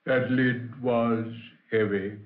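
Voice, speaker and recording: Male actor as undead old man, slow ominous delivery, vengeful, gloating, 1940s radio horror, echoey. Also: mid-range voice, fairly even intonation, narrow, tinny audio, natural voice. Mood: sardonic, eerie.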